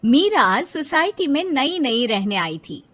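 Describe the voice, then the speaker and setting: A woman's voice: high voice, big pitch swings, slow, a bit bright. A woman narrating a scripted moral story as a storyteller.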